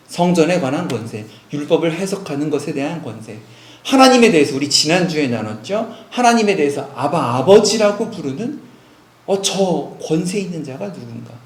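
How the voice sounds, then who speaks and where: Animated male pastor preaching, echoey hall. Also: fairly high pitch. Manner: animated preaching, rhetorical questions to the congregation. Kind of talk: sermon. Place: church sanctuary.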